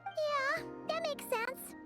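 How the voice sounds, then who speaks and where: A woman voicing a tiny, chirpy, childlike fairy companion in a fantasy game, eager and cheeky, with a squeaky voice. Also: slow, loud music behind the voice. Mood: upbeat, casual. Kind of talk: animated fantasy game voice acting.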